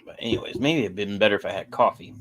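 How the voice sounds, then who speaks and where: A man's voice: lowish voice, fast, a bit bright. A young man casually hosting a live stream, rambling and answering chat, his voice getting sore.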